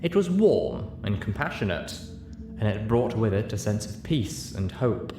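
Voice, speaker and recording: Low-voiced man narrating, roomy sound. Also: very animated, measured pace. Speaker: narrator, story reader. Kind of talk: expressive scripted story narration. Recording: roomy, clearly noisy home recording.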